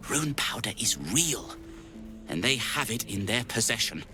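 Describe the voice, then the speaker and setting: A man's voice: mid-range voice, lively intonation, measured pace, over music, lightly acted. A man voicing a curmudgeonly, theatrical gnome alchemist in a video game, with a wry, self-satisfied manner. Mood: grave, worried. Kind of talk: video game character acting.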